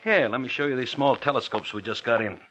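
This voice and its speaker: A man's voice: mid-range voice, fast talker, a bit bright, close to an everyday voice. An actor playing a brash, wary, shady novelty salesman with slick patter and an American accent in an old radio thriller. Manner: slick patter, calls everyone "brother". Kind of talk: 1940s-50s radio thriller acting. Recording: dull vintage radio recording.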